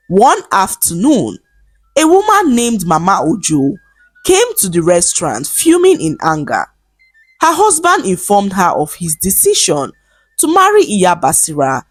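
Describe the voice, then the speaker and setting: Expressive woman telling a folktale. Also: mid-range voice, slightly rough, dry recording. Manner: expressive, dramatic storytelling delivery. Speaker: storyteller. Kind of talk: scripted folktale narration.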